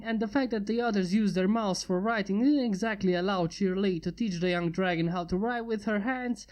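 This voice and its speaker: A woman reading fan fiction aloud in a steady storybook narration. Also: medium pitch. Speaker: fanfiction reader.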